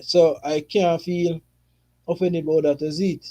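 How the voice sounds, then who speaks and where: Jamaican man, young, football host, mellow and slightly high, chatty patois rhythm. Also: flat delivery, a little slow. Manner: patois-flavored, chatty, frequent "you see me". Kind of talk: casual football reaction talk.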